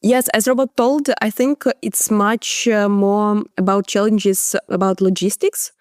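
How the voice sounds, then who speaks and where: A woman's voice: mid-range voice, fairly even intonation, measured pace, darkish tone, no room sound, clean studio sound. Ukrainian woman speaking hesitant English. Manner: hesitant, many fillers, non-native phrasing. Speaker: journalist guest. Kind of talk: interview answers.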